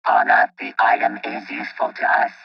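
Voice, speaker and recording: A man voicing a calm, cautious robot guardian in a radio play, with a gravelly, husky, filtered voice and formal, clipped, analytical phrasing. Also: medium pitch, moderate pace, far from the mic, dull audio. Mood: measured, cautious. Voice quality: gravelly, husky, electronically processed.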